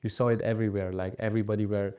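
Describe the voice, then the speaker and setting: A man's voice: lowish voice, little pitch movement, rapid-fire, dark tone, smooth. A young man from Hungary, raised partly in Austria, casually telling his story as a podcast guest. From Hungary, moved to Austria aged seven. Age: teens to young adult.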